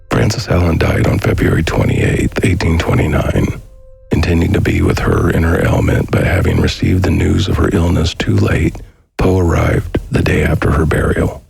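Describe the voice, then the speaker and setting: A man reading scripted documentary narration in a measured, formal manner. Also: sits low, creaky, a little mellow, husky. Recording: faint music behind the voice. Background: faint background music.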